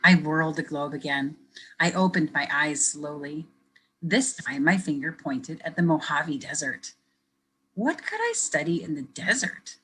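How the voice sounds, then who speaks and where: A woman educator reading a picture book aloud to children, pausing to ask them questions in a gentle, expressive way. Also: low voice, a little hiss or hum, poor audio. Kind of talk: children's read-aloud with teacher commentary.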